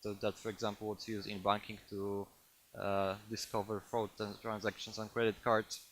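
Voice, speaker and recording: A man with a Polish accent giving a technical talk to a live audience, halting often with fillers and restarts. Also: on the low side, little pitch movement, fairly bright tone, a little hiss or hum. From Poland. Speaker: conference speaker.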